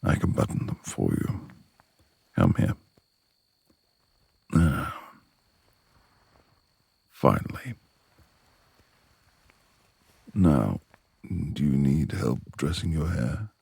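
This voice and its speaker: A man playing a brooding, reclusive beast of a manor lord in a romantic ASMR roleplay, gruff yet tender, with gravelly, breathy speech and formal old-fashioned phrasing. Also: extremely low pitch, sing-song, takes it slowly, pauses often, mellow, no room sound, heavily acted. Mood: coaxing.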